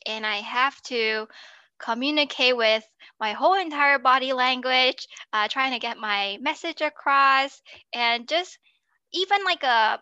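Bright, crisp-voiced woman guest, hesitant. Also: fairly high pitch, no echo, highs cut off. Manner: hesitant, many fillers, warm and grateful. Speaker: podcast guest. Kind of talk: conversational interview.

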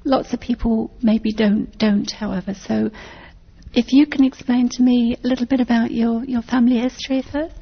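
A woman asks interview questions in a polite, halting way. Her voice is slightly high and husky, and the recording sounds dull and muffled. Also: steady tone.

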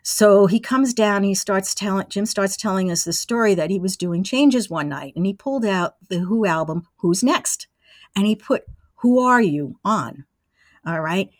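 A woman in her sixties telling casual anecdotes as an interview guest, with an animated storytelling manner. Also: medium pitch, a little slow, no echo, clean studio sound. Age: 60s. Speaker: interview guest, former college radio engineer.

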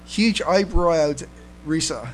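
A man co-hosting a casual fan podcast, chatting haltingly and often repeating his words. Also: fairly high pitch, expressive, a little hiss or hum, rough recording. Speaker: podcast co-host.

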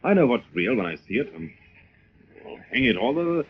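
A man's voice: mid-range voice, very animated, moderate pace, close to an everyday voice. An actor playing a suave, skeptical young man about town in an old radio drama. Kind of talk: 1940s radio acting. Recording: muffled, hissy old radio recording.

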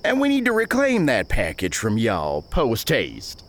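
A man's voice: medium pitch, huge pitch swings, unhurried, fairly bright tone, exaggerated voice. A man voicing a pompous, long-winded older banker on the frontier, with flowery and ingratiating speech.

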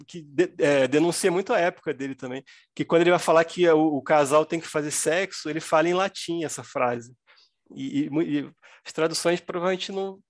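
Brazilian man guest on a video-call chat, talks fast, dry sound. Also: a little high, dry recording. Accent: Brazilian Portuguese. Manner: hesitant, restarts while explaining. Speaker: guest translator. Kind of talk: casual podcast chat.

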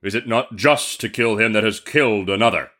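A man's voice: on the low side, a little fast, pushed and bright. A man voicing a huge, ancient, wrathful genie in a storybook tale, speaking in a menacing, commanding, formal way. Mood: wrathful, stern.

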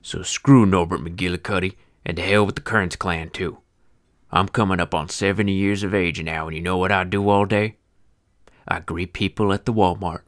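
A man doing a deep-voiced old Western grump. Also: fairly even intonation, moderate pace, no echo, clean studio sound, natural voice. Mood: sardonic. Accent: old-time American Western twang. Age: 60s. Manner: grumbling, sardonic, swears freely. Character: bitter foul-mouthed old male feed seller. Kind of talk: first-person storytelling narration.

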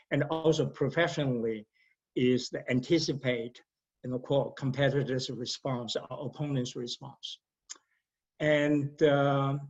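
A man with a Taiwanese accent, a professor speaking in a reflective conversation, talking deliberately and often repeating words as he thinks. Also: mid-range voice, lo-fi sound. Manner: deliberate, halting, frequent repetitions and fillers.

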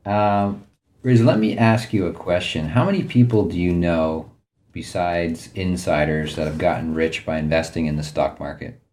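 A man hosting a podcast, asking friendly, halting questions. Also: sits low, steady tone, darkish tone. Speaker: podcast host. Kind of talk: podcast interview.

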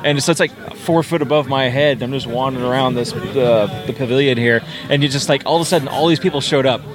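A man's voice: mid-range voice, fast, crowd noise. A man chatting casually as a guest at a convention pavilion, rambling and laughing often. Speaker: guest vendor. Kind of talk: casual chat.

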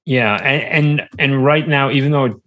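A man talks casually as a guest on an interview podcast. His voice has an average male pitch. He rambles in a halting way, doubling back and repeating words as he builds each thought. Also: fairly bright tone. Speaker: podcast guest, small-business entrepreneur.

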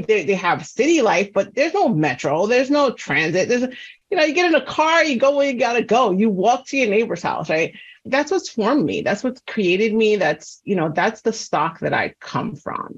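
A woman's voice: on the low side, slightly rough, lo-fi sound. A woman in her forties from New York City, a guest telling family stories in an earnest, chatty way full of "you know" and "right?". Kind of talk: casual interview chat. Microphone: video call.

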